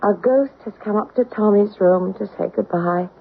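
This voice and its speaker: A woman's voice: medium pitch, moderate pace, creaky. An actress playing a worried, emotional wife and mother in a 1970s radio drama. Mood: anxious. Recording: tinny 1970s radio broadcast with faint noise.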